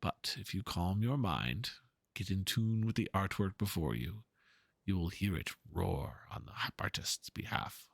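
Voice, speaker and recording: A man playing an earnest, pompous painter in a dramatic reading of game dialogue, speaking in a florid, halting, theatrical way. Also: sits low, animated, creaky, no room sound, clean studio sound, full character voice. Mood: reverent, fervent. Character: theatrical, earnest male painter, pompous and fervent about art.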